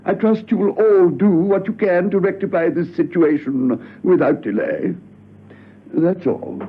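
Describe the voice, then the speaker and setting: An actor playing a fussy, officious department boss in a spy radio drama, stiff, formal and flustered. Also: male voice, high voice, a little slow. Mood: stern, fussy. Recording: tinny phone-like radio recording, faint noise.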